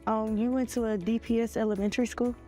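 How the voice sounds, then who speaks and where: A woman's voice: fairly high pitch, fairly even intonation, fast talker, mellow, faint music behind. A young woman in her late teens reading a prepared introduction and asking interview questions. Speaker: student interviewer.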